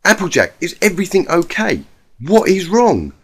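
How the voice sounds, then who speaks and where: A man's voice: sits high, wildly swooping pitch, moderate pace, husky, pitched above the performer's own voice, full character voice. A man voicing a worried, disbelieving young female unicorn pony, urgent and stammering, in a storybook drama. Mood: alarmed, concerned.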